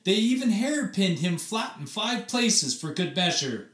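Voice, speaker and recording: A man narrates a picture book in a slow, deliberate way. His pitch is very animated, with theatrical emphasis and pauses, and the room adds a clear echo. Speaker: read-aloud storyteller, teacher. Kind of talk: expressive children's storybook narration.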